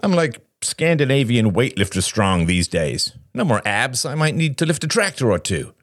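A man's voice: medium pitch, sing-song, normal speed, no echo, clean studio sound, voice pushed up, lightly acted. A man voicing a brash, impatient, bossy gym-bro older brother in an audiobook story, with an expansive, decisive manner. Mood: boastful, teasing.

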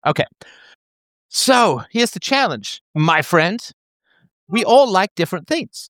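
A man's voice: high-pitched, slow pace, dry recording. A man in his thirties in a casual chat, explaining an idea playfully as if talking to a child. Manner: animated, playful, talks as if to a child.

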